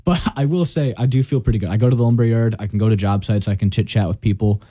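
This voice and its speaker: Easygoing young man, low mellow voice. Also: fast talker, no echo, studio quality. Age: 20s. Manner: easygoing, rambling, laughs often, keeps saying "I'll tell you what". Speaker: guest, college student. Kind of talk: casual on-air chat.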